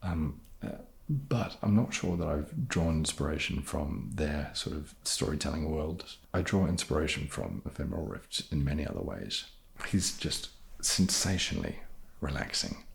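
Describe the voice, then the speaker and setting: Kiwi guy talking softly, low gravelly fry, wry and self-deprecating, chill q&a. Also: expressive, unhurried. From New Zealand. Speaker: ASMR creator answering viewer questions. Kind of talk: soft-spoken casual Q&A.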